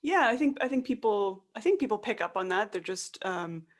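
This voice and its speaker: Female podcast guest, conversational and informal, medium pitch, slips animatedly into quoted asides. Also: expressive, a little fast. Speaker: podcast guest, online course creator and tutorial maker. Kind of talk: casual conversational interview.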